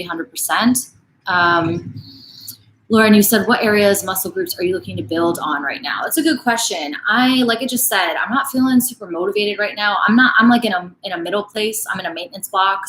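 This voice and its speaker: A woman's voice: mid-range voice, fairly bright tone, echoey room, a little hiss or hum, poor audio. A woman in her late twenties, a fitness coach casually answering viewer questions in a live stream, full of "like" and "um" fillers. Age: late 20s. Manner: casual, chatty, frequent "like" and "um" fillers.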